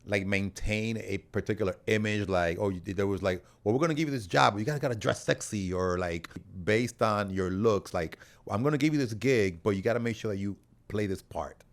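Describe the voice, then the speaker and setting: A man's voice: lowish voice, a little fast. A man hosting a casual podcast interview, talking informally with slang and some swearing.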